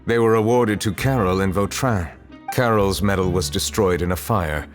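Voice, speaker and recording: An actor playing a dignified, reserved gentleman of high office in a video game, speaking formally. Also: soft background music, lightly acted. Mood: grave, composed. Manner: measured, formal.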